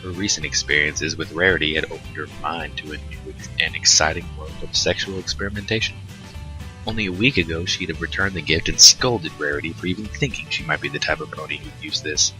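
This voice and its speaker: A man's voice: extremely low pitch, a little fast, music in the background, poor audio. A man narrating a scripted story aloud as a co-host. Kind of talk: scripted fan fiction narration.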